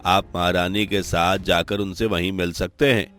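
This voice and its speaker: A man's voice: low-pitched, level tone, normal speed, a bit bright, soft background music. A man playing a dutiful royal soldier in a cartoon story, reporting formally and deferentially to his king. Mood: respectful report.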